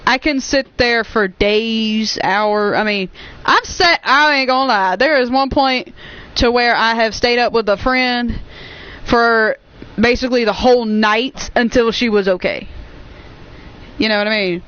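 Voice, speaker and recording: A young woman of nineteen with a smooth, clear voice chats casually over a game. She talks earnestly and reassuringly, wandering from point to point, on a slightly muffled, lo-fi microphone. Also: fairly high pitch, a little slow, fairly bright tone. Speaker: gaming YouTuber hosting a stream. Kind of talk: casual chat over gameplay.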